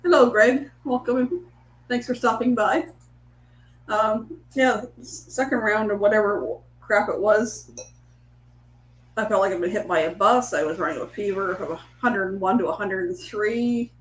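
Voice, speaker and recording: A woman's voice: medium pitch, a little slow. A middle-aged woman with a stuffy, congested voice, chatting in a rambling way to viewers of her live stream at home. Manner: rambling, chatty, with many restarts. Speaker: livestream cooking host. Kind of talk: casual chat on a live stream, greeting viewers. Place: home, echoey room. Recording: echoey room with faint hum.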